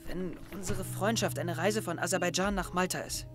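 A man's voice: high-pitched, steady tone, normal speed, close to an everyday voice. A young man playing an anxious, brooding teenage boy in an introspective, tense audio drama monologue. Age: young adult. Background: music.

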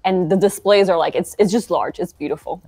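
A woman's voice: on the low side, a little fast, warm tone, soft background music. Chatty young woman on a video call. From Argentina. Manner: chatty, many fillers like "um" and "like". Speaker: guest founder. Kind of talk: casual interview chat. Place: bright shop interior, video call.

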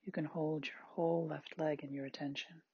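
A meditation guide with a husky, breathy, androgynous voice, giving gentle, unhurried body scan instructions in short spaced phrases. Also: mid-range voice, level tone, no echo, lo-fi sound. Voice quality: very husky, breathy, at times near-whispered.

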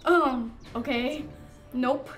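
A woman's voice: sits high, extremely slow, a bit bright. A woman in her twenties playing a tabletop roleplaying game, improvising casually in character. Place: indoor studio set. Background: background music.

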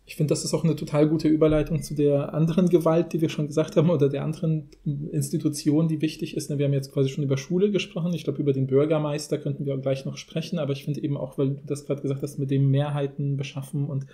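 A man's voice: fairly high pitch, little pitch movement, fast talker, hardly any pauses, warm tone. A man hosting a podcast, talking in a casual, rambling and informal way. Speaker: podcast host. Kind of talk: casual discussion.

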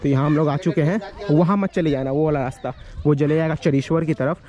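A man's voice: a little high, fairly even intonation, fairly quick, a crowd in the background. A young man from India, vlogging casually on a handheld camera, explaining things to viewers in a repetitive, instructive way. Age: young adult. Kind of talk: casual walking vlog, giving directions and farm tour. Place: village lanes outdoors and cattle shed. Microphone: handheld camera at arm's length. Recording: noisy handheld outdoor recording, ambient sound.

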